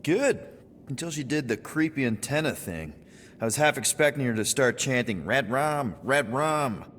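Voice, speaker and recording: A man's voice: mid-range voice, animated, normal speed, fairly bright tone, a little hiss or hum, close to an everyday voice. An actor voicing a flippant but good-hearted space adventurer in a video game, casual and a little hesitant in his speech. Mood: joking, flippant. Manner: casual, joking, hesitant when sincere. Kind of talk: cinematic video game voice acting.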